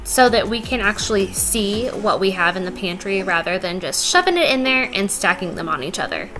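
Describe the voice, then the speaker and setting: A woman's voice: mid-range voice, big pitch swings, brisk. A young woman narrating her own home cleaning video in a chatty, upbeat voice-over with some self-mocking jokes. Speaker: vlogger. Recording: voice-over with loud background music.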